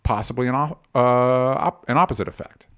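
A man's voice: medium pitch, brisk, smooth. A man with a mid-range voice hosts a casual film discussion. He talks bluntly and argues his opinions freely, in loose conversational language that can get sweary. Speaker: host of a movie discussion show. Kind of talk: casual opinionated movie chat.

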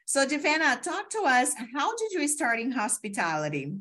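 A woman's voice: sits high, wildly swooping pitch, moderate pace, fairly bright tone. Chatty woman podcast host. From Brazil. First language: Portuguese. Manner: effusive, chatty, many fillers. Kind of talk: casual interview.

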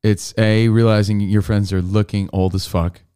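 A man with a low, smooth, resonant voice, chatting on a podcast. He is laid-back and rambling, with a jokey streak. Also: flat delivery, moderate pace, mellow. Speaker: podcast co-host. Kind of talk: casual chat.

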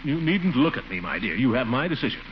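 A man's voice: medium pitch, sing-song, quick pace, close to an everyday voice. A man playing a pompous, exasperated middle-aged uncle, blustering theatrically in a 1940s-style radio comedy. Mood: grudging. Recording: noisy, dull old radio recording.

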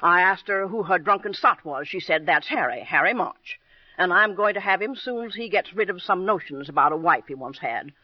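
A man's voice: high voice, animated, fairly quick, no echo. A man voicing a pushy, sentimental, gray-haired woman who runs a health-food counter, with emphatic patter in an old radio drama. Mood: mournful, blunt. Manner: pushy sales patter, emphatic, fragmentary sentences. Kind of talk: 1950s radio drama acting. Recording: muffled old radio recording.

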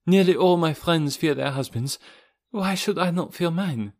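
A gentle, frightened young noblewoman, as a male narrator puts her on, her voice lifted high and soft with pleading. The reading sounds close and dry, with no room around it. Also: animated, moderate pace, no room sound. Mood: fearful. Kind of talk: audiobook reading.

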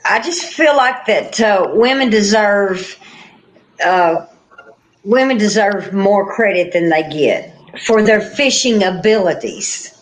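A woman's voice: medium pitch, slow, slight background noise, poor audio. A woman, talking in a casual panel chat as a guest angler, plainspoken, folksy and determined.

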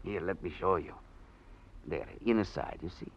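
A man playing a proud, protective old violin maestro in radio drama, speaking haltingly and repeating words. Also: low voice. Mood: gentle, instructing. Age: older. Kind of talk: 1940s radio drama acting. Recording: dull old radio recording.

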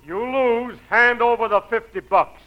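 A man's voice: very high-pitched, measured pace. An actor playing a tough, boastful male gunslinger in a Western comedy. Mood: brash. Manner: brash, challenging. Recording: dull old recording with loud hiss.

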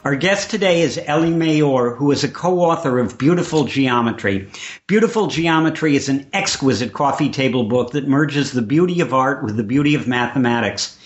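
A man's voice: mid-range voice, animated, moderate pace. A man hosting a podcast, introducing a guest and asking questions. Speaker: podcast host, interviewer. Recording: roomy, dull lo-fi sound.

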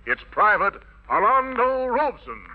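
A man's voice: high-pitched, sing-song, measured pace, heavy background noise. A man hosting a 1940s radio variety show as master of ceremonies, announcing with florid, jive-talking showmanship. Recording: dull lo-fi vintage radio broadcast.

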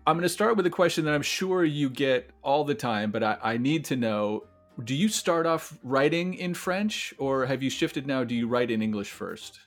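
A man's voice: mid-range voice, lively intonation, normal speed, faint music behind. Easygoing Midwestern man hosting. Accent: Midwestern American. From Iowa. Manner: friendly, easygoing, uses fillers. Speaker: podcast host. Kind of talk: casual chat and interview.

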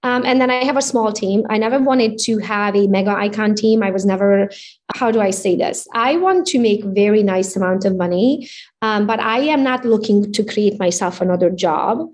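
A woman in her forties from Romania with a Hungarian accent, telling her story as an interview guest in an upbeat, animated way. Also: mid-range voice, moderate pace. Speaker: real estate agent guest. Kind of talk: casual interview chat.

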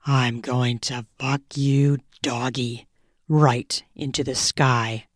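A woman voicing a domineering, possessive young man, speaking in a low, murmured, commanding way. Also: level tone, slow, dry recording, studio quality, full character voice.

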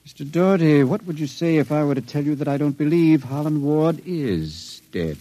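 An actor playing an intelligent, sensitive long-term sanitarium patient in an old radio drama, speaking in a measured, cautious, educated way. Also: male voice, a little high, expressive, dark tone. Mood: guarded.

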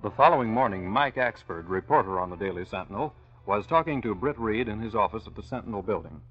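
An actor as a serious narrator reading scripted narration in an old-time radio drama. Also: male voice, fairly low pitch, animated, fast, natural voice. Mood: measured, serious. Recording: dull old radio recording, music behind.

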